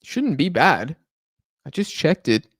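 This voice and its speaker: A man's voice: on the high side, big pitch swings, takes it slowly, a bit bright, breathy edge, no room sound, clean studio sound. A tired young man hosting a casual live stream, chatting loosely and answering questions from the chat while losing his voice. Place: home room in Argentina.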